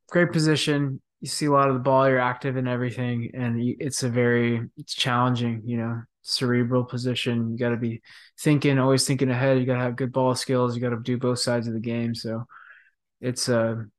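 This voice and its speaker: A man in his thirties, a professional midfielder, talking softly and thoughtfully in a casual interview, with many fillers and restarts. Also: medium pitch, flat delivery, brisk.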